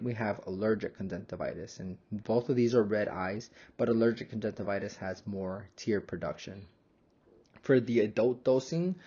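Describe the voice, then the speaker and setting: A young man, a pharmacy student, narrating a slide lecture in a measured, explanatory manner. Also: fairly low pitch, warm tone, slightly rough, muffled recording.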